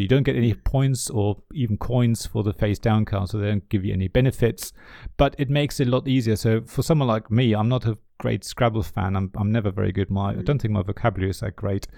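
A man whose first language is German, chatting casually about board games as a podcast co-host, with a relaxed, rambling delivery full of restarts. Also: mid-range voice, dry recording, clean studio sound. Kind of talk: casual board game chat.

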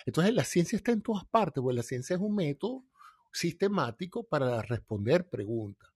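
A middle-aged man teaching science in an informal talk, his voice a little husky and recorded dry and close. He builds each point patiently through everyday examples. His pitch sits in an ordinary middle range. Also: darkish tone, no room sound. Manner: didactic, explains with everyday examples.

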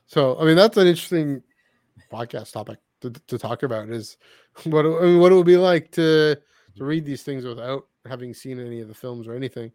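A man's voice: mid-range voice, brisk, darkish tone, no room sound. Casual male podcaster, dry close sound. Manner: hedging, thinking aloud. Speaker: podcast co-host. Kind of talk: casual podcast chat about books.

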